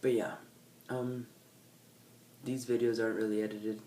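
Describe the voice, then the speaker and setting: Nasal teen dude rambling to his camera, lowish voice, sits back from the mic with faint noise behind. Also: level tone, a little slow, mellow, recorded from a distance. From California. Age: teens. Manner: rambling, self-correcting, laid-back. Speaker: vlogger. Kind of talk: casual unplanned chat to camera. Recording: faint background ambience, set back from the mic.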